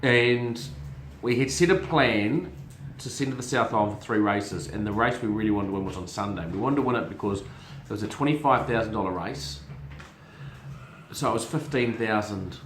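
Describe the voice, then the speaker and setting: A middle-aged man with a lowish voice, talking through the week's racing in a loose, chatty way. He often stumbles and repeats words while he hunts for the next one. The room gives his voice a clear echo. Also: normal speed, slight background noise. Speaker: racing show host and syndicate manager. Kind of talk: casual family chat show. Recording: roomy echo.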